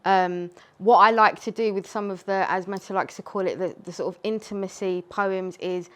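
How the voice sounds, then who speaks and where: A woman's voice: medium pitch, fairly even intonation, moderate pace, a bit bright. A woman poet whose first language is Urdu, talking earnestly and reflectively in a group discussion. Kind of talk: casual discussion. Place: community space.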